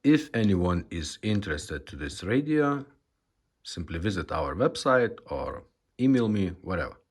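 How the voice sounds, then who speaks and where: Male demo presenter, lively intonation but slow, low-key and halting delivery, casual tone. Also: husky. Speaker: presenter demonstrating a retrofitted car radio.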